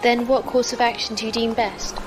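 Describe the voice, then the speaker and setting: An actress playing a polite, formal and precise humanlike android squire in a science fiction audio drama. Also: female voice, fairly high pitch, little pitch movement, a little fast, music in the background, natural voice. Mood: composed.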